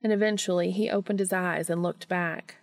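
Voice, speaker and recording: A woman's voice: fairly low pitch, steady tone, a little fast, dry recording. A woman reading scripted fiction as a podcast narrator and host.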